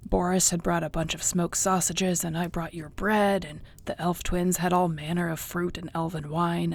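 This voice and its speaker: Husky, low-voiced battered orc girlfriend, acted by a woman. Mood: fond storytelling. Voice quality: husky, breathy, gravelly. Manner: sighing, conversational, wearily understated. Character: battered tough orc girlfriend, affectionate and wry. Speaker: audio roleplay voice actor. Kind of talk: intimate one-sided audio roleplay.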